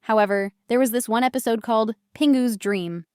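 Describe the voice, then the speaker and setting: A woman's voice: a little high, brisk, a little mellow. A woman giving casual reaction commentary as a video host.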